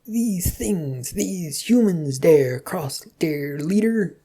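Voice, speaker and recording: A woman's voice: lowish voice, lively intonation, very slow, dark tone, breathy edge, voice pushed up, heavily acted. A woman voicing a deferential, bumbling troll henchman in a fantasy story, hesitant and questioning. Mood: eager. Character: deferential, bumbling troll underling, eager to please.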